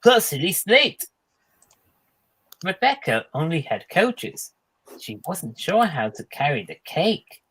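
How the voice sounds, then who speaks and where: A man's voice: a little high, sing-song, a little slow, fairly bright tone, slightly rough. A man reading a children's storybook aloud as a narrator. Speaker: storybook reader.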